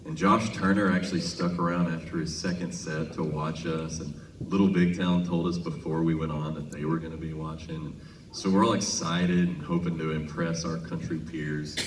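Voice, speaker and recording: An American man telling humorous stories onstage to a concert audience, conversational, with deliberate pauses before punchlines. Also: a bass voice, flat delivery. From Minnesota, United States. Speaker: singer addressing a concert audience. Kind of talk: humorous onstage storytelling between songs. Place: large casino concert venue. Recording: echoey live hall, distant noisy PA sound.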